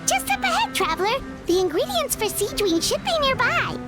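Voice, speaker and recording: A tiny flying girl sidekick from an anime game. The voice is extremely high and childlike, swooping eagerly around in pitch. She is curious and exclaims often, over background music. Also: big pitch swings, moderate pace. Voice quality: squeaky, childlike. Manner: exclamatory, refers to herself in third person. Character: small excitable flying fairy-like companion girl, curious. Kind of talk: anime video game voice acting.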